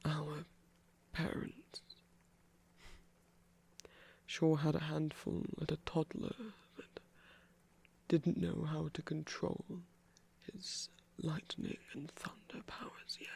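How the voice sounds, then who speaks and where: A man voicing a gentle trickster god in an ASMR roleplay, whispering slowly and soothingly in a breathy, creaky voice with refined, hesitant phrasing. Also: a little high, completely flat delivery, exaggerated voice. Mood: gentle reminiscing.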